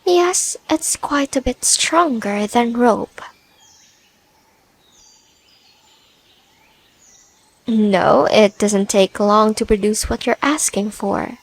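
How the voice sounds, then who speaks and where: Prickly spider-woman played by a woman: slow, scornful, haughty audio-drama delivery. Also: a little high. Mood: smug. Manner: dry, scornful, bargaining. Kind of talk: ASMR audio roleplay.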